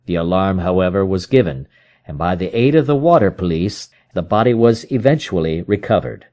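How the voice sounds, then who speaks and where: A man's voice: low-pitched, lively intonation, moderate pace, warm tone. A man reading classic fiction aloud as scripted audiobook narration. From United States. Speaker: audiobook reader.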